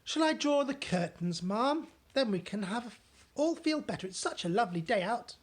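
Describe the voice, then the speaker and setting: A woman's voice: fairly high pitch, lively intonation, normal speed, husky, voice pushed up. A woman voicing a fussy, loyal middle-aged maid who talks eagerly and deferentially while reading a storybook aloud. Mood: anxious.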